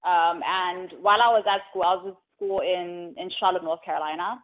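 Give me a woman being interviewed, thoughtful and casual, her slightly low voice coming through a thin phone-quality line. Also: fairly even intonation, moderate pace. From South Africa. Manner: thoughtful, many "um"s and "right?" tags. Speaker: guest, tech community co-founder.

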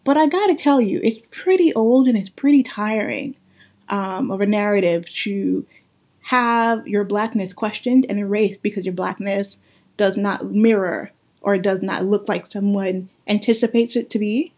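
Earnest, emphatic Guyanese woman podcaster. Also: medium pitch. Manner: earnest and emphatic, with slow deliberate stresses and warm laughs. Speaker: podcast host. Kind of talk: casual solo podcast monologue.

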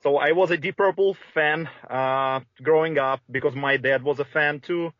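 A man's voice: fairly high pitch, normal speed, no room sound. Man from Russia, dry muffled voice. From Moscow, Russia. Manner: steady storytelling with fillers. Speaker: band guest. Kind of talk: casual interview chat.

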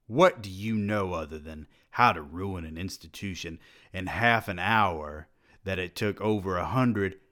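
A man acts out a domineering older college president who barks questions and scolds with contempt. The voice is deep and booming, with a slow, heavy delivery. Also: sharp tone, heavily acted.

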